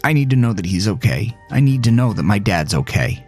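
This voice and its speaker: A man's voice: lowish voice, very animated, fairly quick, darkish tone, soft background music, voice pushed up. A man playing an anguished grown son in an audio drama, pleading tearfully with a stammering, desperate manner. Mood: desperate insistence.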